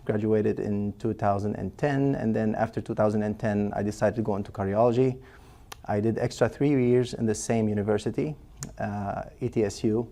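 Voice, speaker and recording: A man's voice: lowish voice, gravelly, mellow. A man, a cardiologist patiently explaining in an interview, with frequent fillers and restarts. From Lebanon. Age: 40s. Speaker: cardiologist guest.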